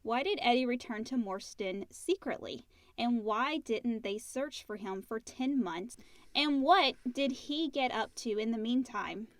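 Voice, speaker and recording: A woman speaks in a fairly high voice as a podcast co-host. She chats casually and reads out listener questions. The recording is dry, with very little room sound. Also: lively intonation, a little slow, no room sound. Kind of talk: casual chat and reading questions aloud.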